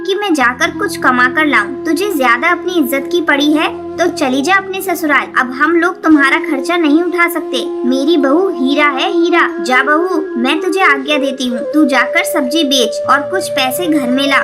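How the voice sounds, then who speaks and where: A woman's voice: high voice, moderate pace, few pauses, lightly acted. Woman doing a bossy middle aged mom bird in a cartoon, stern n scolding, music behind. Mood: stern, protective. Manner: stern, emphatic. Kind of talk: Hindi cartoon character dialogue.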